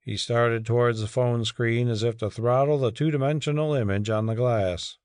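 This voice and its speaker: A man's voice: medium pitch, steady tone, moderate pace, darkish tone, smooth, no room sound, clean studio sound. A man narrating a dramatic audiobook from a script.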